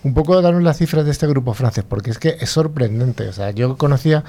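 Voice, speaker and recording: A man's voice: medium pitch, animated, a little fast, dark tone. A man hosting a radio interview, chatty and asking leading questions. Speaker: radio host.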